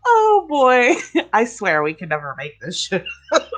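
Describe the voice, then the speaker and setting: Playful woman with lively pitch. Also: measured pace. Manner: playful, teasing, crude jokes. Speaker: podcast co-host. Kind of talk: casual chat.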